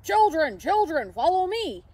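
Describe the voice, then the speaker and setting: A woman voicing a kindly, excitable old man in a children's story, with a hearty, fatherly manner. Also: high-pitched, a little slow, exaggerated voice. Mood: urgent, calling.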